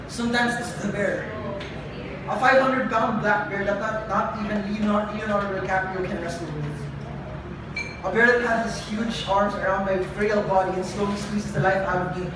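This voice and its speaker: A young adult poet performing confessional spoken word live, with a dramatic, deliberate delivery and emphatic repetition. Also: medium pitch. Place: live event venue with room ambience. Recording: echoey live room, distant mic, noisy.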